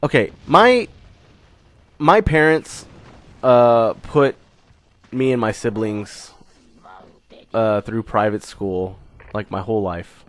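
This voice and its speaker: A man's voice: fairly low pitch, slow pace, long pauses. A young man giving a casual channel update, rambling with many fillers and restarts while still getting over a cold. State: recovering from a cold. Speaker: gaming channel host.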